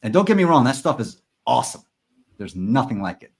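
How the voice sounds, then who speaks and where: A man's voice: medium pitch, big pitch swings, a little fast, vocal fry, darkish tone, husky. A man hosting a podcast, speaking earnestly and enthusiastically about ideas. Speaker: podcast host. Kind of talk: casual podcast chat.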